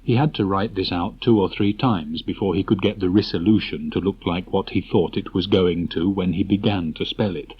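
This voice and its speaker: A man's voice: sits low, breathy edge. A man narrating a children's story from a script as an audiobook reader. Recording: muffled old cassette tape transfer.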